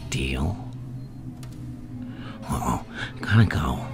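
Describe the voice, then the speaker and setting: A man acting out a menacing, playful mad clown villain in a soft-spoken ASMR roleplay, taunting and intimate, often dropping to a whisper. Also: extremely low pitch, animated, drawn-out words, music in the background, heavily acted. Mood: conspiratorial, threatening.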